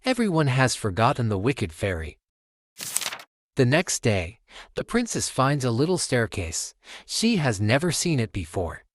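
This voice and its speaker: A man's voice: mid-range voice, wildly swooping pitch, a little slow, no room sound. A man hosting a storytime channel, reading a children's picture book aloud as narrator.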